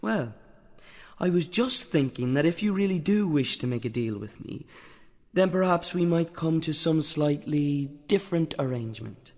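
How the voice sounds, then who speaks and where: A man's voice: fairly high pitch, lively intonation, normal speed, a little mellow, long reverb tail. An actor playing a cautious male piper who haggles with the devil over his soul. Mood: wary.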